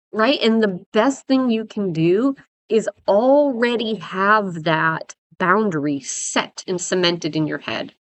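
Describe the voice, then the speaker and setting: A woman dog trainer, raspy from a head cold, giving blunt, emphatic advice in casual talk to viewers. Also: medium pitch, fairly bright tone. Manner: emphatic, blunt, repeats "right?" and "guys".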